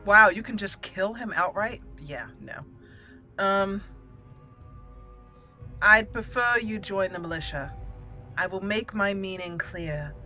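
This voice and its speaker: A woman hosting a roleplay gaming video, mixing casual commentary with deliberate readings of her character's dialogue. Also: medium pitch, bright, forceful tone, faint music behind.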